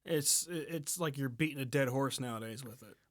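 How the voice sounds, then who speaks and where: A man's voice: medium pitch, moderate pace, dry recording. A man co-hosting a podcast, chatting casually in a laid-back, slightly hesitant, agreeable way. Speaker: podcast co-host. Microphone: close mic on remote podcast call.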